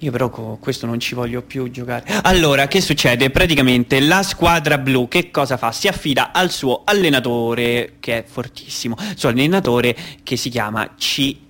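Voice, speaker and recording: A man's voice: medium pitch, moderate pace, hardly any pauses, fairly bright tone, lightly acted. An actor playing a patient but exasperated man in a stage play, explaining things didactically and conversationally. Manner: didactic, insistent, checks for understanding.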